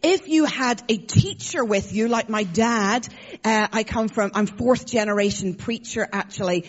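A woman's voice: medium pitch, measured pace, highs cut off. A woman with an Irish accent, a guest preacher giving a conversational sermon, chatty and joking with frequent fillers. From Ireland.

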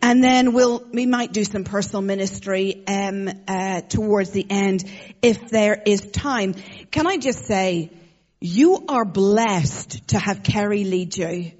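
A woman's voice: mid-range voice, takes it slowly, darkish tone, highs cut off. A woman with an Irish accent, a guest preacher giving a conversational sermon, chatty and joking with frequent fillers. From Ireland.

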